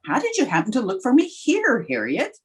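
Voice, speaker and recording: Woman playing wry schoolteacher, lively husky voice. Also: fairly high pitch, fairly quick, exaggerated voice. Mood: dry, wry. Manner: dry, deadpan. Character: wry, quick-witted woman schoolteacher, deadpan wisecracker. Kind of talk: 1950s radio comedy acting.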